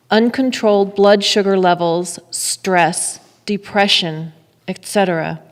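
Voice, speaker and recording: A middle-aged woman giving a solemn, deliberate dramatic reading of testimony at a lectern. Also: mid-range voice. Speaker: readers theater performer. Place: temple sanctuary at a lectern. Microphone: boom mic on a stand at lectern. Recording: echoey hall with long reverb.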